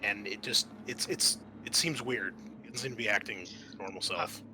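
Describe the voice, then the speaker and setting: A man narrating a tabletop roleplaying game as game master, pausing often mid-sentence. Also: on the low side, bright, forceful tone, slight background noise, low-quality recording.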